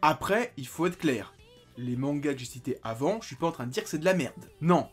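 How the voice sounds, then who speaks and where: Excitable young man reviewing manga. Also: a little high, brisk, slightly rough, faint music behind. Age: 20s. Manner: animated, bursts into excited exclamations. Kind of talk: enthusiastic video review.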